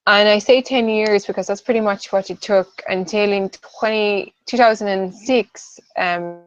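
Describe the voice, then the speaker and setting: A woman in her late thirties from southern Sweden talks through a webinar in a loose, hesitant way, filling gaps with ums and "I suppose". Her voice sits at a middle pitch. The audio is dull and lo-fi, and very dry. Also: fairly even intonation, unhurried, clean tone, dry recording. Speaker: webinar co-host, nutritional therapist. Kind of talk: casual webinar presentation.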